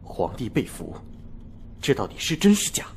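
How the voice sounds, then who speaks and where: An actor playing an ailing, brooding prince and commander, speaking in a subdued way in a Chinese period audio drama. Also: male voice, medium pitch, huge pitch swings, normal speed, gravelly, darkish tone, a little hiss or hum. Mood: troubled disbelief.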